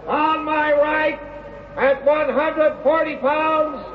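A high-pitched male boxing ring announcer calls out in a very slow, ceremonial manner. His voice echoes in a muffled old radio drama recording. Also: fairly even intonation. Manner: drawn-out, projected, ceremonial calling. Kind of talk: 1960s radio drama acting.

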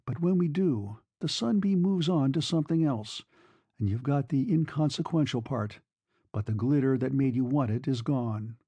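Man playing a weary young soldier, creaky voice. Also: medium pitch, lively intonation, moderate pace, dark tone, no room sound. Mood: bitter, musing. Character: disillusioned young soldier, weary, self-absorbed, sentimental lover.

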